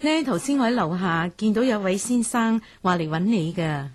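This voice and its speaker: A woman's voice: medium pitch, expressive, normal speed, close to an everyday voice. An actress playing an obliging, chatty landlady in a radio drama, speaking with a Hong Kong Cantonese accent.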